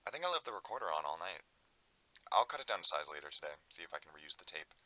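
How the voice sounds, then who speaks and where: Man playing a troubled diarist with a sharp, bright voice. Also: low-pitched, big pitch swings, exaggerated voice. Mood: relieved, casual. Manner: halting, muttering to himself. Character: troubled, confused man recording private tape diaries. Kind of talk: audio drama monologue.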